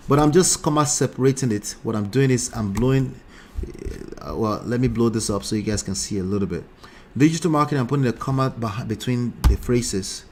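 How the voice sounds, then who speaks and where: A man in his forties with a Nigerian accent, teaching a live-streamed online lesson in a casual, chatty way from a small dark room, wearing headphones at a desk mic. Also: medium pitch, slight background noise. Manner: casual, chatty, repeats himself, pleads with viewers. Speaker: online marketing coach.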